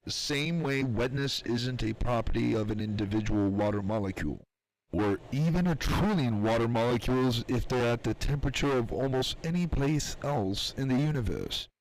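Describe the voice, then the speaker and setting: A man acting as a thoughtful, philosophical scientist in an audio drama, speaking in a measured, explanatory, reflective way. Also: mid-range voice, close to an everyday voice, rough recording.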